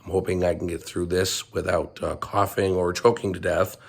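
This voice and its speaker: Hoarse, lower-pitched New guy hosting a rambling garage vlog, lots of ums. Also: little pitch movement, moderate pace. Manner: rambling, frequent ums and coughs. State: hoarse from laryngitis. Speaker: channel host, car restorer. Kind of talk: casual vlog update.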